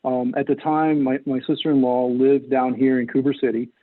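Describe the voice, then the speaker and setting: A middle-aged American man giving earnest, measured answers as a political candidate in an interview. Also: medium pitch, flat delivery, narrow, tinny audio. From North Carolina, United States. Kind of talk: interview answers, campaign talk.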